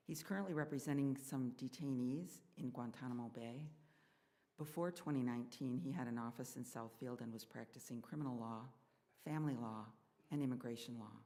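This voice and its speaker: A man's voice of medium pitch carries through a hall with a long reverb. He leads a service, reading and introducing to the congregation in measured, formal phrases. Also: warm tone. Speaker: service leader introducing the guest speaker. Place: church hall.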